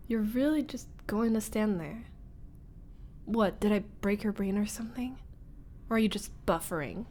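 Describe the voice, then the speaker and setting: A woman playing a teasing young former school bully turned nervous roommate, in an intimate ASMR roleplay with halting, breathy delivery that drops into whispers. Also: medium pitch, a little mellow, a little hiss or hum, lightly acted. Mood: frustrated, accusing.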